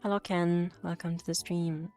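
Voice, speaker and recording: A woman's voice: on the low side, level tone, moderate pace, a little mellow, faint music behind. A young woman, a Polish native speaker, with an English accent that mixes American and British, chatting casually on a stream in a rambling, self-correcting way full of fillers. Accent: mix of American and British English. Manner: rambling and self-correcting, frequent fillers and restarts, laughs easily. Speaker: VTuber streamer. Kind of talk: casual livestream chat, reading chat aloud.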